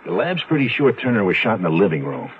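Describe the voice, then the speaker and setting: Matter-of-fact American police officer, lowish voice, 1970s radio drama, thin muffled recording. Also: male voice, big pitch swings, fast. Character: practical male police colleague reporting case facts.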